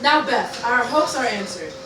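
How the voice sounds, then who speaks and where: A woman acts out a defiant hive-mind commander hurling mock Shakespeare in a fairly high voice. The amateur radio play is roomy, with clear noise. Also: lively intonation, moderate pace, lightly acted. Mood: firm. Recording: roomy, slightly distant, noisy room recording.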